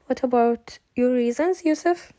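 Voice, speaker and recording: A woman's voice: high voice, a little slow, dark tone, dry recording, clean studio sound. Young woman from Kuwait presenting a seminar. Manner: reads prepared remarks, small restarts. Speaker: student presenter.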